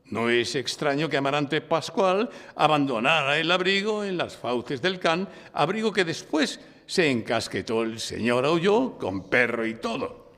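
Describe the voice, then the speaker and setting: A man's voice: fairly high pitch, lively intonation, unhurried, fairly bright tone. An actor with an accent from Spain playing an oratorical male defence lawyer who argues and narrates his case in a courtroom radio drama. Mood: triumphant, oratorical. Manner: oratorical, insistent, declamatory. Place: public library, before a live audience. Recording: reverberant hall, live stage recording.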